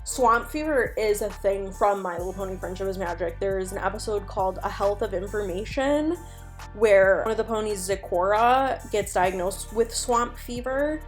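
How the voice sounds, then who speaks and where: A woman's voice: medium pitch, normal speed. Enthusiastic woman chatting over background music. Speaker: video host. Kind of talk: casual commentary on an online animated horror series.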